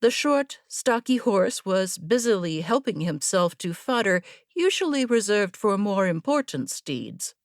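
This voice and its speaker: A woman reading scripted fiction as an audiobook narrator, in a measured, deliberate way. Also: mid-range voice, sing-song, no echo, studio quality.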